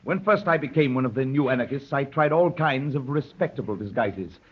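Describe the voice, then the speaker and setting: A man's voice: medium pitch, animated, a little fast, slightly rough. A man playing a proud, intense anarchist poet, speaking with formal, declamatory self-importance in the manner of 1930s radio drama. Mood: fervent, confiding. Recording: muffled, worn 1930s radio recording.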